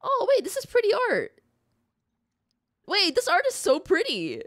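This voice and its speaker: A woman streaming a game, reacting casually in an expressive, joking and gushing way. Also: very high voice, a little slow. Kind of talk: casual live reaction commentary. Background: game music.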